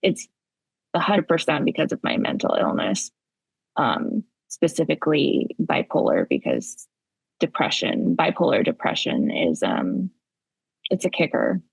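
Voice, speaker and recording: Woman co-host, raspy tired voice with gravelly edges, dry close sound. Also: sits low, very animated, dry recording, rough recording. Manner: candid, halting, many fillers. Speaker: podcast co-host, therapist. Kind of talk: casual podcast chat.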